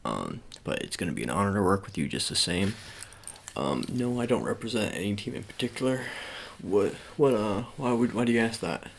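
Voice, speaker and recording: A man's voice: on the low side, very animated, a little fast, vocal fry, full character voice. A man playing an awkward, politely doubtful sports agent in an improvised ASMR roleplay, stammering and repeating his phrases as he talks to the listener.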